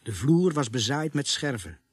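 A man playing a sombre police sergeant who narrates a radio play in a grave, deliberate, husky voice. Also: medium pitch, big pitch swings, no room sound. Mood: grim, measured. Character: sombre male police sergeant recounting a grisly night.

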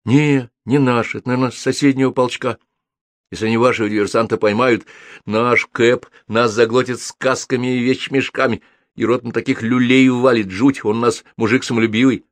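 A man's voice: medium pitch, steady tone, fairly quick, no echo, voice pushed up, lightly acted. A man voicing a sardonic captured soldier who grumbles colloquially in an audiobook. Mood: wry, uneasy. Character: captured rival soldiers, sardonic and chatty about their proud captain.

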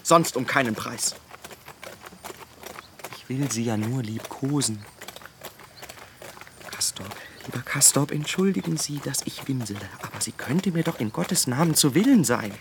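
A man's voice: medium pitch, animated, a little slow, long pauses, noisy background. An actor playing a wretched, lovesick man who whines and pleads as he confesses, in a German radio drama. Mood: tormented, pleading. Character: wretched lovesick man, whining and self-pitying.

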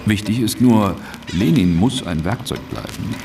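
An actor playing a glib, eager political fixer in a German radio drama, breezy, colloquial and assured. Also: male voice, lowish voice, expressive, unhurried, over music.

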